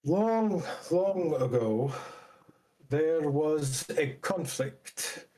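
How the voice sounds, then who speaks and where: A man voicing a solemn elder who recounts ancient lore in a tabletop game, measured, formal and portentous. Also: fairly high pitch, expressive, mellow, voice pushed up, poor audio.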